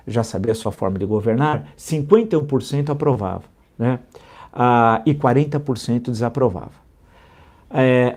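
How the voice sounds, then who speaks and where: A Brazilian man hosting live political commentary, talking emphatically and repeating key numbers for stress. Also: mellow. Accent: Brazilian Portuguese. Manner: emphatic, repeats figures for stress, rising pitch when indignant.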